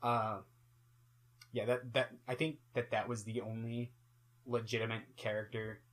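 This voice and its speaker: A man's voice: on the low side, flat delivery, a little slow, fairly bright tone. A man co-hosting a casual film review, hesitant and full of restarts as he weighs his opinions. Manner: hesitant, many restarts and fillers. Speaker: co-host of a movie review show.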